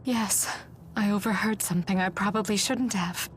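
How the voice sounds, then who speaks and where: An actress playing an earnest, wary village woman who speaks politely in a hushed way in a video game drama. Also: medium pitch, fairly even intonation, moderate pace, slight background noise, natural voice. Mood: anxious.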